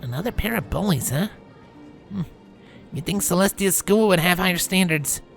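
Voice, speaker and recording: A man voicing an irritable, tough young female pegasus pony who mutters and grumbles in a breathy voice. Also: sits high, very animated, very slow, bright, forceful tone, soft background music, voice pushed up, heavily acted. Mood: cool, stern.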